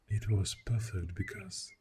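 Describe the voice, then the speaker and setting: A man playing a clingy, tender boyfriend in an ASMR roleplay, speaking softly and intimately, often slipping into a whisper. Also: low voice. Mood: fond, nostalgic. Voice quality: soft, breathy, often whispering. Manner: slow, intimate, affectionate, lingering pauses. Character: clingy, tender, devoted boyfriend on a morning camping trip. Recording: steady outdoor nature sound noise. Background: birdsong and nature sounds.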